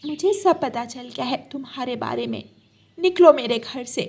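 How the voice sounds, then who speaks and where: A woman's voice: high-pitched, moderate pace, vocal fry, a little mellow, a little hiss or hum. A woman voicing a kind, protective mother sparrow with an earnest manner in a children's cartoon. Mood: angry.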